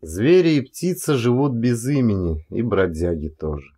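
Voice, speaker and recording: A man's voice: lowish voice, lively intonation, moderate pace, warm tone, breathy edge. A man reading aloud in the scripted manner of an audiobook narrator. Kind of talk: dramatic scripted literary narration.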